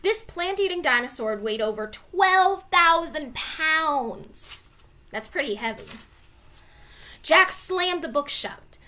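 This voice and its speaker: Bright, high-pitched woman reading a storybook. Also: slow. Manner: expressive, clearly dramatized reading. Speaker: storybook reader. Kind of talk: animated children's read-aloud narration.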